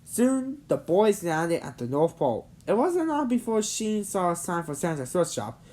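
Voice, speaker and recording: A woman's voice: lowish voice, sing-song, normal speed, mellow. A woman reading a children's storybook aloud, now and then stumbling over words. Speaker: storybook reader.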